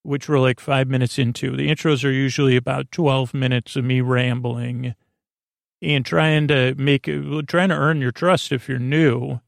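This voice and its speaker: Guy with a gravelly voice doing a rambly sleep podcast, super dry close sound, keeps losing his thread. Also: medium pitch, steady tone, no echo. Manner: meandering, halting, repeats and abandons phrases. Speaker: podcast host. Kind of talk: rambling bedtime podcast monologue.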